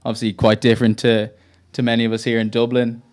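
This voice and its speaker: A man's voice: mid-range voice, monotone, quick pace, darkish tone. A man hosting a podcast and interviewing a guest in a friendly, casual way, often giving short acknowledgements. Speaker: podcast host.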